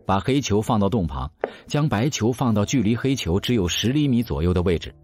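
Deep-voiced male narrator, expressive delivery. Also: a little fast, slight background noise. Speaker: narrator of an audio drama. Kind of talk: scripted dramatic narration of a novel.

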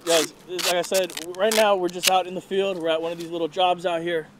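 A man vlogging outdoors, chatty and excited, talking straight to his viewers. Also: sits high, fast, heavy background noise. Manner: excited, chatty, keeps addressing viewers as guys. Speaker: vlogger hunting iguanas. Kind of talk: casual vlog narration to viewers. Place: outdoors in a neighborhood field.

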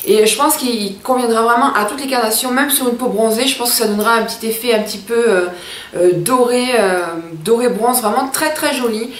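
A woman's voice: on the high side, fairly quick, roomy sound. A woman in her thirties chatting enthusiastically to camera about makeup in a room at home, talking to her viewers as girls. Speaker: beauty vlogger. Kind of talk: casual makeup review to camera. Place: small room at home, indoors.

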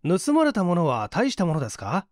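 A man voicing a calm, inquisitive gentleman detective in an audiobook, asking politely and analytically. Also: high voice, fairly quick, no room sound, clean studio sound. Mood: calm, inquiring. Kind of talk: dramatized audiobook reading.

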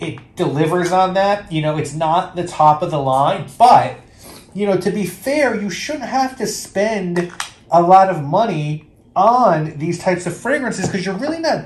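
A man talks through fragrances in an easygoing, rambling way, full of fillers and restarts. His voice sits a bit high. The room around him is echoey, with a faint hum of background noise. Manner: chatty, hesitant, many fillers and restarts. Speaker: fragrance reviewer. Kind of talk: casual product review.

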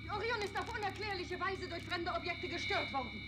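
High-voiced, strict space security officer. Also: female voice, expressive, brisk. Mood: urgent, reasoning. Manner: formal, insistent. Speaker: actor. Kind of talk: 1960s television science fiction acting. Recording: old 1960s TV soundtrack, music behind. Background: music.

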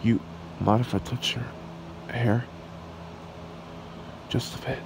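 A man playing a smitten, shy red dragon boyfriend in an ASMR roleplay, speaking in a halting, tender way. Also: medium pitch, creaky. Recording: steady background hiss or room hum.

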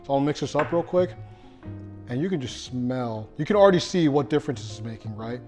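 Young male host, casual and self-deprecating, mid-pitch, kitchen demo. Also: expressive, a little fast, a little mellow, faint music behind. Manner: chatty, self-deprecating, addresses viewers as guys. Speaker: cooking show host. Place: home kitchen.

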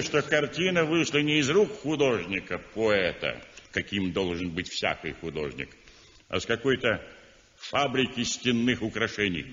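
An actor playing an outspoken art critic in a 1960s radio drama, sardonic and declamatory. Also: male voice, medium pitch, a bit bright. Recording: dull old radio recording in a roomy hall.

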